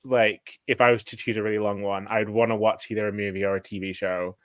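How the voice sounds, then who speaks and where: A man's voice: fairly low pitch, little pitch movement, fast talker, no room sound, studio quality. A man chatting casually about books as a livestream guest, meandering and hesitant, often saying "like". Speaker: guest co-streamer.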